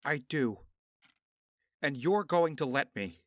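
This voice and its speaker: Guy acting as horrified business partner, indignant, high tense voice that swings around a lot, audio drama. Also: expressive, slow pace, dry recording, studio quality. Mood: resolute. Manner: indignant, pleading, emotional. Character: horrified male business partner with a troubled conscience.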